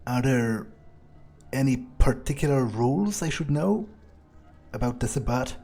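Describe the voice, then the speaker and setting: Man playing a husky, curious vampire newcomer. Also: medium pitch, lively intonation, measured pace, a little mellow, heavily acted. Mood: curious, doubtful. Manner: hesitant, casual, thinking aloud. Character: wary, curious young male vampire newcomer. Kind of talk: in-character video game roleplay playthrough.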